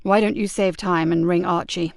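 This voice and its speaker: A woman doing a gentle, steady male sergeant. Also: moderate pace, creaky, dry recording, clean studio sound. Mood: calm. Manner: calm, low, reassuring.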